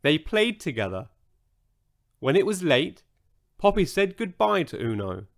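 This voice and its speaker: A man narrating a children's bedtime story in a measured, expressive storytelling manner. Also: on the high side, creaky. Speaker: audiobook narrator.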